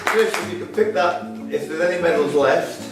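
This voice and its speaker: A man's voice: on the high side, a little fast. A man taking part in a quiz, speaking casually. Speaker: quiz contestant. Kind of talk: casual answers and chat. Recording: very echoey distant room, music behind.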